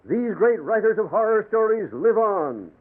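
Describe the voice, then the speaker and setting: An actor playing a fervent horror-show announcer, declaiming emphatically in 1940s radio style. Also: male voice, high voice, sing-song, normal speed, a little hiss or hum. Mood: dramatic. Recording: narrow, phone-like 1940s radio recording.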